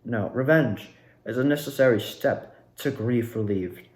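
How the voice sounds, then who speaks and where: A man's voice: mid-range voice, measured pace, a little mellow, lightly acted. A man playing a vengeful, grieving cult leader in a theatrical monologue, with grandiose and emphatic delivery. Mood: urging vengeance. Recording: echoey room.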